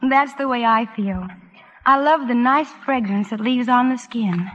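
A woman's voice: on the high side, measured pace, fairly bright tone. A woman in a scripted 1940s radio advertisement, speaking in a gushing, admiring way. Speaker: guest in a sponsor's advertising segment. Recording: muffled, faintly noisy vintage radio broadcast.

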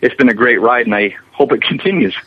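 A man's voice: medium pitch, quick pace, husky. A man being interviewed as a guest, talking in a casual, explanatory and enthusiastic way, rambling on about his work. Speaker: interview guest, visual effects animator. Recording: dull lo-fi, radio-like remote line.

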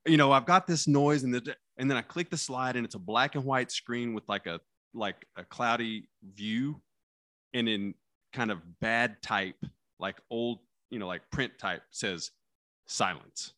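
A man who is a criminal defense lawyer talking casually about trial practice, with a loud, carrying voice, acting out courtroom lines and dropping his voice low for effect. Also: a little slow, no echo. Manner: animated storyteller, acts out courtroom lines, drops voice low for effect.

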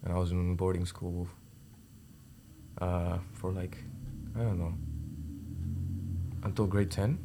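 A man's voice: low voice, level tone, takes it slowly, long pauses, background noise. A young man from India and Zambia, chatting casually as a student guest on a podcast. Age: teens or young adult. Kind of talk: casual interview chat.

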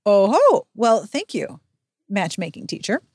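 A woman's voice: mid-range voice, wildly swooping pitch, a little slow, a little mellow, no room sound, clean studio sound. A middle-aged woman hosting a podcast, casually recapping a book with wry, sarcastic asides. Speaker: podcast host.

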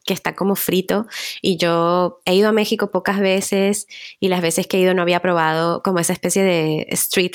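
A woman speaking with a lowish voice. She tells stories as a podcast guest, casually and in an animated way, and the recording sounds close and dry. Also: no echo. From Venezuela. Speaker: journalist guest. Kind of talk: casual chat.

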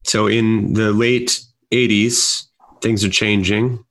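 A man's voice: lowish voice, flat delivery, takes it slowly, a bit bright, low-quality recording. Man asking brief interview questions.

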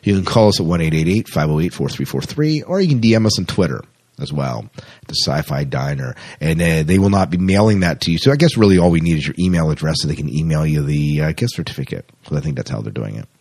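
A man's voice: a bass voice, expressive, fast, a little mellow, slightly rough. A man co-hosting a podcast, talking in an informal, rambling, conversational way. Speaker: podcast co-host. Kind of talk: casual podcast chat.

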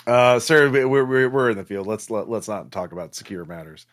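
Insistent male federal agent played by a male game master, official yet hesitant, lowish register, tabletop game. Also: lively intonation, a little fast, natural voice. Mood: guarded. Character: insistent male government agent securing a scene.

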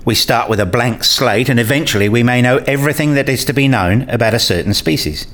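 A man's voice: medium pitch, brisk, a bit bright, lightly acted. A man playing an inquisitive hobbyist in a radio play, questioning and reasoning aloud.

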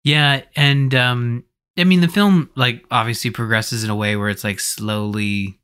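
A man's voice: medium pitch, fairly even intonation, measured pace, fairly bright tone, clear, resonant tone. A man co-hosting a casual film discussion in loose, rambling, hesitant phrases. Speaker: podcast co-host.

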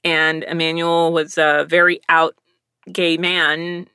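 A woman in her thirties tells candid stories in a bright, forceful voice that sits a little low. She laughs at herself and acts out the other people's lines. The sound is close and dry, recorded on a studio microphone. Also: takes it slowly, clear, resonant tone, dry recording. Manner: animated storytelling with laughs and acted-out quotes; candid and self-deprecating. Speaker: voice actor. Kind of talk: casual chat. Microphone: studio microphone with headphones.

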